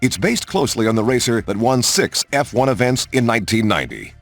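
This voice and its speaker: Male promo narrator, mid-pitched with animated swings, polished announcer read, music bed underneath. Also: fairly quick, creaky. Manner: polished, emphatic announcer delivery. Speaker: game showcase narrator. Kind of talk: scripted promotional car narration. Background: background music.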